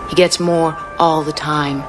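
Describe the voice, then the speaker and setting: A woman's voice: low voice, little pitch movement, measured pace. An actress playing a tough, laconic female mercenary in a radio drama, with music and chimes behind her. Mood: cool, knowing. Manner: cool, laconic, streetwise.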